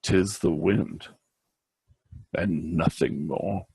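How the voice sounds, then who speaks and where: A man giving a dramatic recitation of a poem, theatrical and halting, with occasional stumbles. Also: low-pitched, creaky, warm tone, husky, no echo. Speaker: reader.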